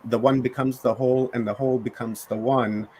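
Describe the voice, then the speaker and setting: A man's voice: medium pitch, fairly even intonation, fairly quick, darkish tone, slightly rough, low-quality recording. A man hosting an online conference who praises the speakers in a gushing, effusive way, with many fillers. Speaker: conference host. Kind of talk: casual online chat.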